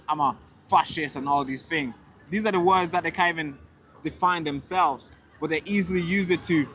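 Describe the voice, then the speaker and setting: A man's voice: on the high side, a bit bright, a little hiss or hum. A young man from Tanzania, a street interviewer and show host, talking indignantly outdoors and repeating words when he gets heated. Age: young adult. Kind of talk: casual street talk and direct-to-camera rant. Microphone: handheld camera mic.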